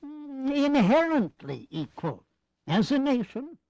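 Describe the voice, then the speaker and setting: Genial, fussy elder statesman, slow, hesitant, very animated, for historical audio drama. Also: very high voice, dry recording, dull audio, full character voice. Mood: mildly fussy. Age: older. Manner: hesitant, drawn-out, gently pedantic.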